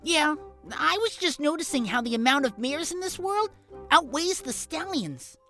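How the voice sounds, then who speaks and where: A clever, stubborn schoolboy colt, acted by a woman, speaks in a high, lively voice. He is laid-back, a cartoon story character with music behind him. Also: normal speed, heavily acted. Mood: musing. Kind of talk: cartoon fan fiction audio drama.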